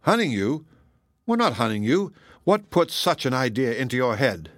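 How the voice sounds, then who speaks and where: A man voicing a level-headed, good-humored young sailor, brisk and plain-spoken, in a storybook reading. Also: medium pitch, very animated, a bit bright, no echo, studio quality. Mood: reassuring.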